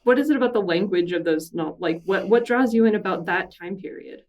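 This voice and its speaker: A woman's voice: mid-range voice, brisk, darkish tone, distant mic, highs cut off. A woman hosting a podcast, asking friendly interview questions and laughing easily.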